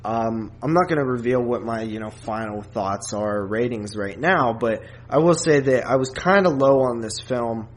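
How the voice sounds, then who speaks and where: A man talks in an average-pitched voice, as a podcast co-host casually reviewing a film. His phrasing is halting and hesitant, as if he is working out each opinion while he speaks.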